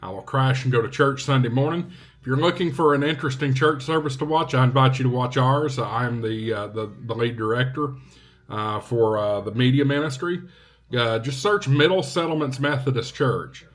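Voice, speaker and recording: A man talking casually to viewers as a vlog host, in an unhurried, halting way with short phrases. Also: mid-range voice. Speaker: vlog host, ham radio hobbyist.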